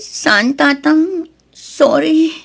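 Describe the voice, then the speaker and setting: A woman acting as a young daughter in an audio drama, with a very high voice that often turns creaky. She is hesitant and pleading, weighed down by remorse. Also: extremely slow, exaggerated voice. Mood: nervous, evasive. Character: Arlene, remorseful young daughter hiding a secret boyfriend.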